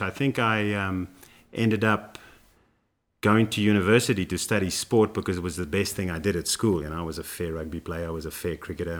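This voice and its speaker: Deep-voiced man. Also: fairly bright tone. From South Africa. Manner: rambling, many fillers and restarts. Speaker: running coach guest. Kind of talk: relaxed interview storytelling.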